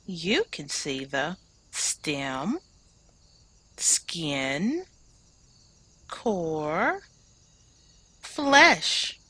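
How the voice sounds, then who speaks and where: Woman reading as a patient, slow-talking farmer. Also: low-pitched, sing-song, bright, forceful tone, slightly rough, no echo, voice pushed down, heavily acted. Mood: patient, explaining. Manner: explanatory, encouraging. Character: kindly, patient male farmer teaching children. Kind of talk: children's storybook read-aloud.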